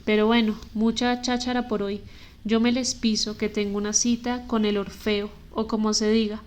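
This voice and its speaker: A woman voicing a loud, jokey vagrant. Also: high-pitched, level tone, normal speed, close to an everyday voice. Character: boisterous homeless man, drowsy and joking.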